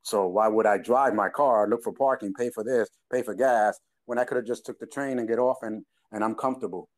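A man's voice: fairly low pitch, a little fast. A man in his twenties with a New York accent, co-hosting a casual podcast chat and telling stories in which he quotes people with laughs. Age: 20s. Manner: storytelling, quoting people with animated laughs. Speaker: podcast co-host.

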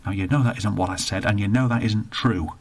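A man's voice: fairly low pitch, a little fast, darkish tone. A man voicing a harassed young male shop attendant who talks in a flustered, placating way with some stammering.

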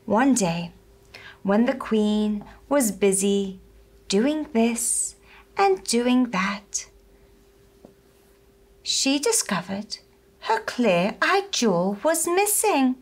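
A young woman telling a story to small children in a gentle, deliberate, expressive manner. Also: on the high side. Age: young adult. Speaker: puppet show storyteller. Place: small furnished room indoors.

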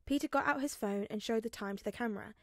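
A woman narrating fan fiction in an expressive storytelling style. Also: fairly high pitch, fast, no room sound, studio quality.

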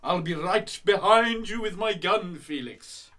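A man playing a brisk, commanding conspirator with a husky voice in a radio play. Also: sits high, sharp tone. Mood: businesslike. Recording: slightly distant microphone.

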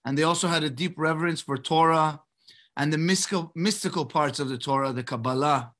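A middle-aged American man from Los Angeles giving a prepared personal address as a rabbi, in a measured, slightly halting way on a video call. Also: fairly high pitch, fairly bright tone. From Los Angeles, United States. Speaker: rabbi, guest speaker. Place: indoors in a book-lined study, on a video call. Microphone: computer mic on a video call.

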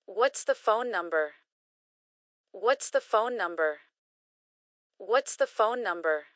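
A woman reading short English phrases slowly and carefully, each one repeated for learners to practice. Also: medium pitch, lively intonation, a bit bright, dry recording, clean studio sound. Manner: careful, clearly enunciated. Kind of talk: slow repeated phrase drills.

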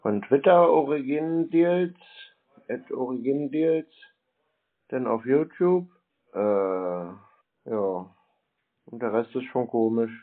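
A man's voice: mid-range voice, lively intonation, takes it slowly, long pauses. A man chatting casually on the phone in a laconic, plain-spoken way, over a narrow telephone line. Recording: narrow-band telephone call.

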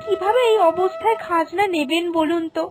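A woman's voice: very high voice, a little fast, soft background music. A woman voicing an anxious, pious poor farmer's wife in a cartoon folk tale, with a plaintive, imploring manner. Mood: pleading. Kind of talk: Bengali animated folk-tale acting.

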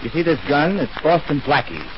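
A man's voice: a little high, big pitch swings, a little fast. A man playing a scheming, cold-blooded young impostor in a 1940s radio drama, with a calculating manner. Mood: cold, menacing. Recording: muffled, noisy 1940s radio recording.

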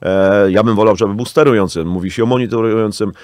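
A man's voice: lowish voice, quick pace, darkish tone, dry recording. A man in his thirties speaking as a guest politician in a radio interview, insistent and full of hesitant fillers, addressing the host formally. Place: small radio studio with acoustic panels. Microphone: studio microphone on arm.

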